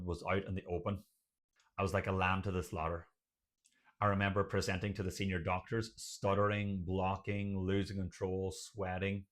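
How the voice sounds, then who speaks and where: A man's voice: low voice, fairly bright tone. An Irish man presenting motivational advice to camera, speaking emphatically and building points through repeated lists. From Ireland. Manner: emphatic, repetitive listing. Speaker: video host, doctor and stuttering advocate.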